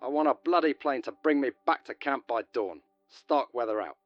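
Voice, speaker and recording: A man playing a loud, imperious expedition leader barking orders in an audio drama. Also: medium pitch, lively intonation, moderate pace, no room sound, natural voice. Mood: blustering. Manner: loud, brash, commanding. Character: blustering, imperious male expedition leader on radio. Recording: dull radio transmission over music.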